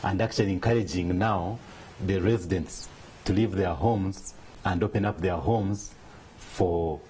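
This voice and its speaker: Deep-voiced man, earnest and measured. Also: dark tone, slight background noise, poor audio. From Uganda. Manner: measured, earnest, explanatory, with restarts. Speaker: interview guest, business leader. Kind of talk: studio interview.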